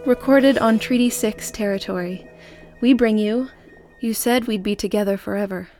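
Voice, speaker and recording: A woman's voice: medium pitch, normal speed. A woman reading a scripted introduction to an audio drama as announcer. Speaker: announcer and writer. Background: theme music.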